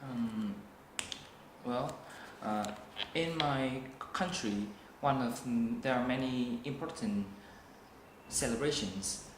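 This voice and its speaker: A young man with a Vietnamese accent answers test questions very slowly, stopping often on fillers and restarting his words. His voice echoes in the room, with faint noise behind it. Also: medium pitch. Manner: hesitant, slow, many fillers and restarts. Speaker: student test taker.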